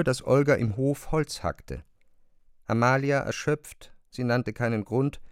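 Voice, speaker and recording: A man reading literary narration for a radio drama, with measured, deliberate phrasing and pauses. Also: medium pitch, clean tone, no echo, studio quality.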